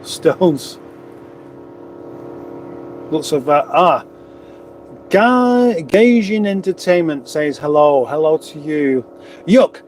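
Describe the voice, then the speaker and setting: Man, livestream host, runny nose, fairly high voice, rambling chatty talk, wry asides, clear garage noise behind. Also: lively intonation, slow pace, long pauses. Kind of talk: casual livestream chat, reading out comments.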